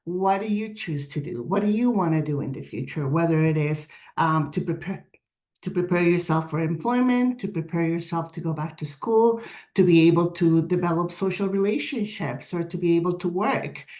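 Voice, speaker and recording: A woman with a raspy voice presenting her organization's day services on a video call, earnest and steady, reading prepared points with some fillers. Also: on the low side, moderate pace. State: raspy voice today. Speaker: director of day services presenting at a community forum.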